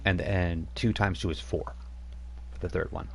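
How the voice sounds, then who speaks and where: A man's voice: low-pitched, steady tone, moderate pace, slight background noise. A man acting as game master, casually explaining tabletop rules step by step.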